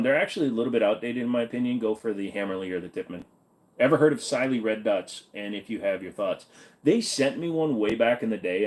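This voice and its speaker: A man hosting a casual livestream Q&A, answering viewer questions in an offhand, blunt, joking way with some swearing. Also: fairly low pitch.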